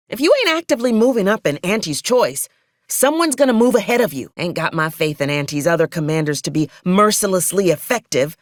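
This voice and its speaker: Sardonic frontier officer, very animated. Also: female voice, lowish voice, no echo. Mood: scornful, curt. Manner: folksy old-fashioned ornate phrasing, dry and haughty. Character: drawling, sardonic, bloodthirsty female frontier corporate officer. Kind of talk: video game character dialogue.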